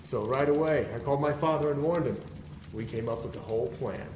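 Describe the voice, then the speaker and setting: Actor as a sly young turncoat, echoey. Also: male voice, mid-range voice, fairly quick, darkish tone, close to an everyday voice. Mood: gloating. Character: sly young male informant, a villain's treacherous son. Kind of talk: noir audio drama acting. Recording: echoey space, faint background noise.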